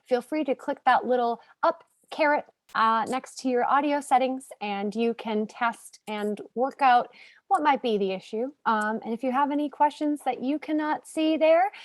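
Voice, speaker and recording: A woman giving technical support instructions during a webinar in a relaxed, chatty way with many fillers. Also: high-pitched, no echo. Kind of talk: casual instructions.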